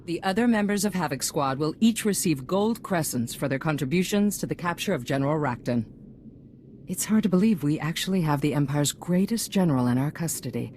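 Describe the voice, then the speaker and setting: Casting: poised female galactic leader in a sci-fi game, low voice, polished statesmanlike delivery. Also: animated, a little fast, darkish tone, a little hiss or hum. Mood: confident. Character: poised, self-assured female head of a galactic government. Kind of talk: video game cutscene voice acting.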